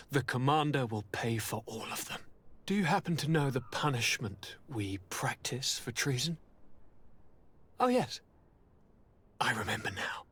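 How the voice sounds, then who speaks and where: Husky, weary young prince. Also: male voice, medium pitch, fairly bright tone, full character voice. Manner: formal, theatrical, sighing. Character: sickly young prince, weary, courteous, bitter. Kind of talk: video game cutscene acting.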